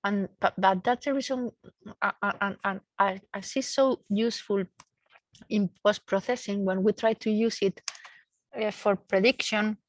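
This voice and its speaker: A woman's voice: mid-range voice, fairly even intonation, moderate pace, no echo. A woman research engineer giving a technical explanation in a seminar, searching for words and often repeating them as she goes.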